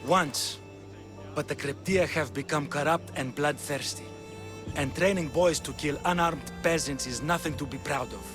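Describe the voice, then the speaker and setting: A man's voice: on the high side, normal speed, close to an everyday voice. An actor playing a sardonic, condescending Spartan king with theatrical delivery in a dramatic video game. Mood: persuasive. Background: game music.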